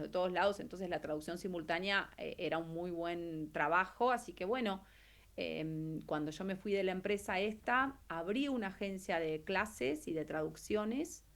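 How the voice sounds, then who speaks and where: Argentine woman recounting her career. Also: on the low side. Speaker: interview guest, actress and translator. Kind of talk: casual interview, telling career story.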